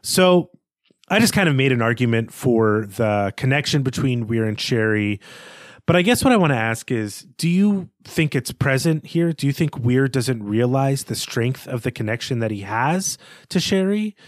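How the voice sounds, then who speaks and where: A man's voice: medium pitch, lively intonation, moderate pace, dry recording. Analytical male podcast host, close and dry. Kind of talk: literary discussion, conversational analysis.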